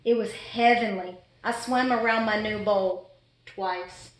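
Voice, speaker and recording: A woman's voice: medium pitch, steady tone, slow pace, natural voice. A woman voicing an exasperated, fussy pet goldfish in a dramatic children's storybook read-aloud. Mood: wistful, worried. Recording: echoey room.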